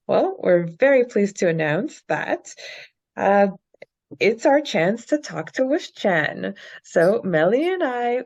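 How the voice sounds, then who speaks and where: Woman, lowish voice, casual podcast host, informal presenting, slightly dull lo-fi recording. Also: a little mellow.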